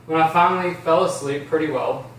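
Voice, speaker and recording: A man's voice: fairly high pitch, fairly quick, close to an everyday voice. Man reading an anxious teenage boy, echoey room. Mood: casual, reserved. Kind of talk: amateur table read of a drama script. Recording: roomy, distant, faint noise.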